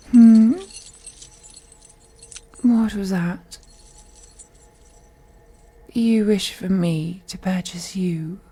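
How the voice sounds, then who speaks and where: Slow, breathy, seductive vampire woman, acted. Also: on the low side, heavily acted. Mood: amused, teasing. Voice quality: soft, breathy, whispery. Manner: slow, teasing, purring, often slipping into whispers. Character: wealthy, seductive, possessive female vampire toying with prey. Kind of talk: intimate audio roleplay, ASMR-like.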